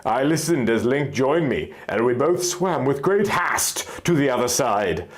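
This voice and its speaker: A man reading scripted fantasy fiction as an expressive story narrator. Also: mid-range voice, unhurried.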